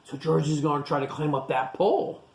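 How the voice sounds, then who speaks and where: A woman teacher reading a picture book to young children, expressive and pausing to explain things simply in child-directed speech. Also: sits low, slight background noise.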